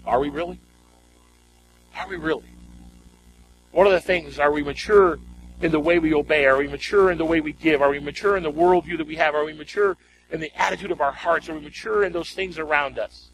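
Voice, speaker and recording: A man's voice: fairly high pitch, brisk, fairly bright tone, a little hiss or hum, rough recording. A man preaching a sermon as a pastor, emphatic and exhorting, repeating phrases and putting rhetorical questions to his congregation.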